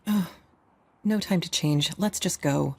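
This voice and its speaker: A woman playing an exasperated, bossy mother of a teenage boy in a horror audio drama, with a husky voice. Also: on the low side, takes it slowly, warm tone, dry recording, heavily acted.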